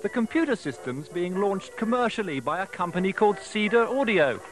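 A man's voice: high-pitched, expressive, fairly quick. A man with an English accent reading scripted narration as a television news reporter. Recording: music behind, home off-air TV recording.

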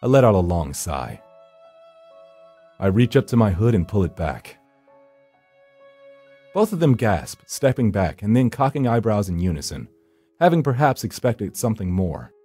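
A deep, husky male narrator, a grim and world-weary hunter of the supernatural. He tells his story solemnly and broodingly, like a horror game monologue. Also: very animated, moderate pace, long pauses, mellow, dry recording, faint music behind. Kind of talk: dramatic scripted first-person narration.

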